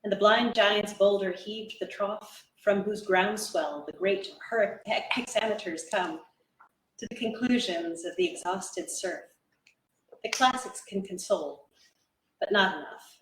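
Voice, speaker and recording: A woman giving a dramatic poetry reading as an actor, with measured, deliberate phrasing. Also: medium pitch, a bit bright. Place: indoor event room with audience. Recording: echoey room, distant mic, faint noise.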